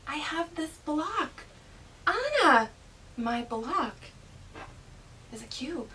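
A woman presenting an educational read-aloud for young children in an animated, exaggerated, child-directed way. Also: high-pitched, extremely slow. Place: small indoor classroom inside a mobile learning vehicle. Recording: distant mic with faint room noise.

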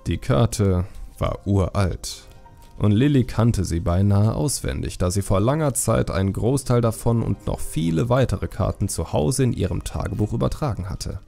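A man narrating a fantasy audiobook in a measured, expressive storytelling manner. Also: fairly low pitch, vocal fry, faint music behind.

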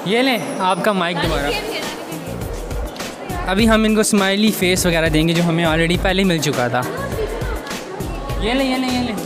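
A young man vlogs casually, giving playful directions like a cameraman among friends. His delivery is animated and bantering, with loud music and a busy crowd behind him. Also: high voice, normal speed, pauses often. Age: young adult. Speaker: vlogger and cameraman. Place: university corridor and campus grounds. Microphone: handheld camera at arm's length.

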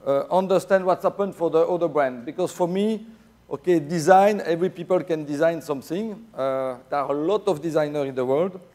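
Belgian french-accented guy presenting at a conference, slightly high voice, lots of uhs and restarts, lapel mic. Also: darkish tone. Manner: halting, many fillers and restarts. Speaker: keynote speaker. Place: dark large conference stage, indoors.

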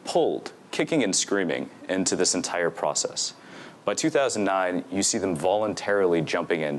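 A young man with a deep, low voice delivers an academic talk from a podium microphone in a lecture hall. He speaks fluently and steadily, dropping in frequent "um"s and "you know"s as he goes. A faint hum of room ambience sits behind him. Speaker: conference speaker. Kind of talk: academic presentation.

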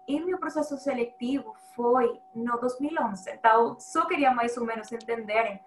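A woman's voice: a little high, normal speed, slight background noise. A woman in her thirties from Venezuela with a strong Venezuelan Spanish accent, speaking Portuguese candidly on a video call panel through a headset. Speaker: industry researcher. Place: indoors, plain light wall. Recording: roomy video call audio.

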